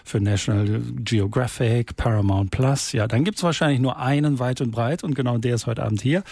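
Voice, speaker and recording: A man's voice: mid-range voice, moderate pace, a little mellow, husky, dry recording. A man with a standard German accent presenting on local radio in a conversational style.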